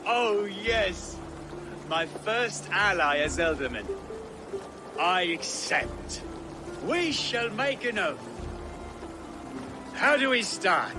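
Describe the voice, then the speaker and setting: A tipsy, earnest young nobleman in a medieval game drama. His high male voice swings theatrically and dawdles very slowly, with music underneath. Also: very animated, heavily acted. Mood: merry, elated. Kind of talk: video game cutscene acting.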